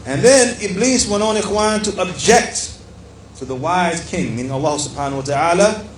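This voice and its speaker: Echoey, higher-voiced man teaching an Islamic lesson. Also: moderate pace. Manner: emphatic, didactic, repeating phrases for stress and often addressing listeners. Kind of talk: religious lecture, reading aloud from a book and explaining it. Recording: echoey room with faint background noise.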